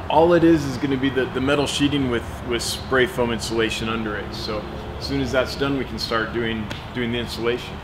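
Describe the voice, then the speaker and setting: Chatty man giving a building walkthrough. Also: mid-range voice. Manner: chatty, relaxed, explaining as he walks. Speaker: fish store owner and vlogger. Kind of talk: casual walkthrough vlog. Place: outdoors and inside an unfinished open building. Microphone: wireless mic on a handheld camera shoot. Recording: noticeable outdoor background noise.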